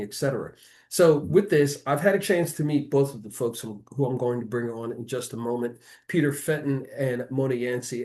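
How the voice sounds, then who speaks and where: An American man hosting a local arts show, introducing it conversationally in an earnest, wordy and deliberate way. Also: medium pitch, darkish tone.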